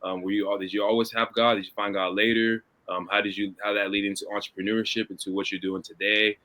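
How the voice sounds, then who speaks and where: A man from Congo co-hosting a podcast, asking questions in a relaxed way with frequent "um" and restarts. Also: on the low side, fast. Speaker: podcast co-host. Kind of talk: casual podcast interview.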